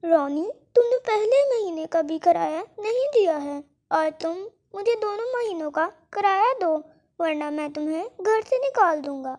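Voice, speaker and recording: Cartoon crow landlord, played by a narrator: male character, stern, scheming, pushy, pitched very high. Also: animated, normal speed, a little mellow. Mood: stern, demanding. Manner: scolding, threatening. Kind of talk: children's cartoon story dialogue.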